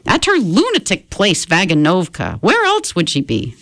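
A woman voicing a scolding elderly grandmother, fussy and sharp-tongued, with exasperated retorts. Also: huge pitch swings, moderate pace, dry recording, heavily acted. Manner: exasperated, high-pitched retorts.

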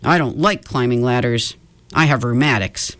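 A woman's voice: very deep voice, moderate pace, pitched below the performer's own voice. A woman voicing a grumpy, scornful husband in a storybook, speaking bluntly and scoffing. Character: grumpy, scornful, stiff husband Mister Dullard.

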